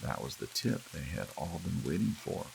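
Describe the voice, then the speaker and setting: Very deep, gravelly man reading a bedtime story. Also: moderate pace, low-quality recording. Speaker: audiobook narrator. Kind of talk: hushed bedtime-style story narration, ASMR. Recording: steady rain and hiss behind. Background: soft rain.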